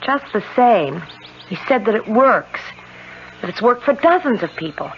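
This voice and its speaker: Fragile young sister, pleading, earnest, 1970s radio play, muffled, faint noise. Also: female voice, fairly high pitch, very animated, moderate pace. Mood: wistful, pleading. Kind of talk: 1970s radio drama acting. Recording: muffled, faintly noisy old radio broadcast.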